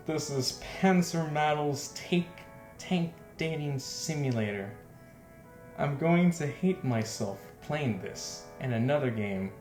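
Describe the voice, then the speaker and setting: A man giving casual let's play commentary as host, self-deprecating and exasperated, often breaking into laughter. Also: on the high side. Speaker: game streamer, host. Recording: roomy echo, faint music behind.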